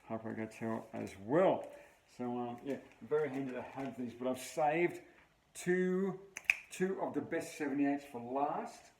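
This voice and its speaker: A mellow-voiced Australian man in his fifties presents a casual home vlog. He rambles along with breezy enthusiasm. The sound is roomy and a little distant, as from a camera a few steps away, with faint noise behind him. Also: mid-range voice. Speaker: video host, memorabilia collector. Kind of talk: casual show-and-tell vlog. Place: small memorabilia room at home, indoors.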